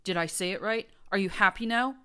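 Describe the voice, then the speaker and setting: A woman's voice: fairly low pitch, animated, moderate pace, pushed and bright. A woman voicing a pompous, deranged undead male pony prince who hisses with false gentility and breaks into screams. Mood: shrieking rage. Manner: hissing, falsely genteel, erupting into screams.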